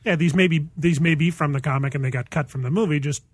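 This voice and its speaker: Quick-talking man with a husky voice. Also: fairly high pitch, dry recording. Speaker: podcast panelist. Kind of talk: casual chat.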